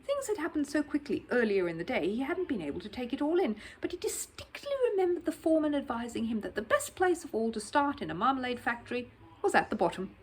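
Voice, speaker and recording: A woman narrates a children's audiobook with animated, dramatic expression. Her pitch rises and falls widely, and her voice has a faintly husky texture. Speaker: storyteller.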